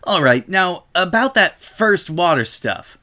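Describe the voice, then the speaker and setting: A man's voice: sits high, sing-song, slow, sharp tone, voice pushed up, exaggerated voice. A man voicing a brash female pegasus pony who rambles on with feigned cluelessness. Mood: rambling, puzzled.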